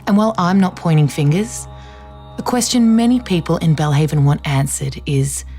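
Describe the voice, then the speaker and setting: An actress playing a female true-crime podcast host, narrating in a measured, insinuating, dramatic way from a script. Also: deep voice, dark tone, faint music behind, close to an everyday voice.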